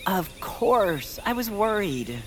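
A woman's voice: mid-range voice, big pitch swings, slow, background noise. A woman playing a polite, clumsy, talkative young male adventurer in an audio drama, wordy and politely understated. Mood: tender. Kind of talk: audio fiction acting.

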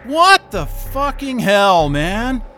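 An actor playing an excitable, plain-spoken job seeker with a blunt, casual, sweary manner in an audio drama. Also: male voice, high voice, extremely slow, faint music behind, exaggerated voice. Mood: startled, appalled. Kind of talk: naturalistic audio drama acting.

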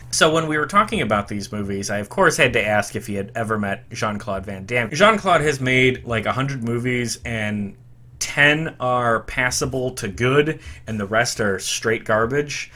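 Bright-voiced man hosting a podcast. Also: mid-range voice. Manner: chatty, gushing fan, quick asides. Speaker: podcast host. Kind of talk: enthusiastic music podcast commentary.

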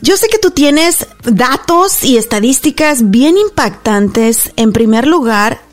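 Woman, radio host, fairly high pitch, conversational presenting, faint music behind. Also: lively intonation, slow pace. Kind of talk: conversational hosting and interviewing.

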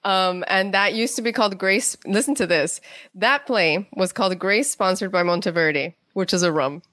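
A woman's voice: medium pitch, normal speed, a bit bright, no echo, studio quality. A woman speaking casually as an interview guest, a writer telling stories in a chatty way and acting out bits of quoted conversation.

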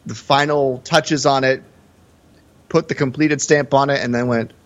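A man's voice: mid-range voice, steady tone, unhurried. A man co-hosting a podcast, explaining things in a hesitant, conversational way during casual film analysis. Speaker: podcast co-host. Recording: slightly dull, lo-fi audio.

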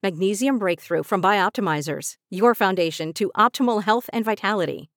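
A woman's voice: mid-range voice, moderate pace, dry recording. A woman reading a scripted advertisement as a voice-over, on a close microphone. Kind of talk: scripted supplement ad read. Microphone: close dry studio mic.

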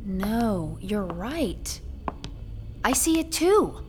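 An actress playing a frightened, sharp-tongued young woman trapped in an apartment in an audio horror drama. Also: mid-range voice, big pitch swings, extremely slow, noisy background. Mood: hushed, uneasy. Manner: sarcastic edge under fear.

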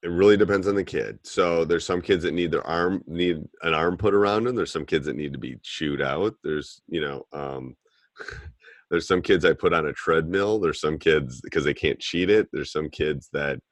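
Deep-voiced man coaching, plain-spoken. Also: normal speed, no room sound. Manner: plain-spoken, repeats phrases, acts out what he tells players. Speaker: basketball coach giving advice. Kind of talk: casual advice chat.

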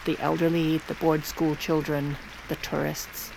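A woman playing a poised, commanding First Lady in an audio drama, sharp-tongued, motherly and persuasive. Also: sits low, level tone, a little slow, gravelly, noisy background. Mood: wry, dramatic. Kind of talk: audio drama acting with first-person narration.

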